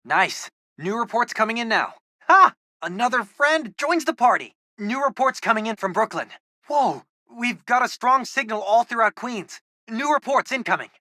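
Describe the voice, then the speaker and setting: Animated, bright-voiced geeky teen boy. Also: high voice, no echo, clean studio sound. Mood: upbeat, reporting. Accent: American. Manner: informal, animated, earnest. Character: eager, geeky teenage boy, hero's loyal tech sidekick. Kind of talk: video game voice acting.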